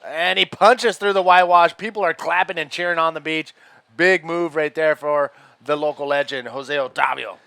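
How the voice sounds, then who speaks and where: A man co-commentating live, upbeat and excited as he calls the moves. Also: a little high, normal speed, vocal fry, clear, resonant tone, dry recording.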